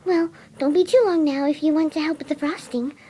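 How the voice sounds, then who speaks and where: A woman voicing a gentle, timid winged pony who dotes on animals, soft, sweet and motherly, in a fan cartoon story reading. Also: high-pitched, fairly even intonation, fairly quick, natural voice. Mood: gentle, doting.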